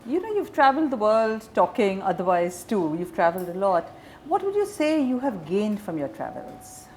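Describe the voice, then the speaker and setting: A woman's voice: medium pitch, very animated, normal speed, darkish tone, slight background noise. A woman interviewing a guest in a conversational style. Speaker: interviewer, journalist.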